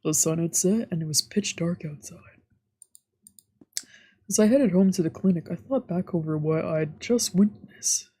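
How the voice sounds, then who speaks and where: A woman's voice: lowish voice, normal speed, dark tone, breathy edge. A woman streamer reading visual novel narration aloud, with casual asides. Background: faint music.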